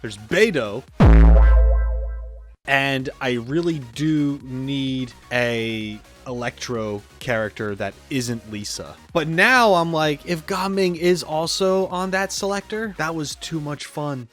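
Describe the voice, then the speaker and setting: A man's voice: a little high, slow pace, a bit bright. Casual man reacting over game music. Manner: informal, exclamatory, thinks aloud. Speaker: reaction video host. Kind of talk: casual gaming reaction commentary. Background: background game music.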